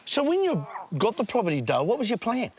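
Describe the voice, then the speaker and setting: Lively, jokey New Zealand male host. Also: high-pitched, quick pace, breathy edge. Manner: jokey, friendly, expressive. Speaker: travel show host. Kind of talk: casual chat and interviewing.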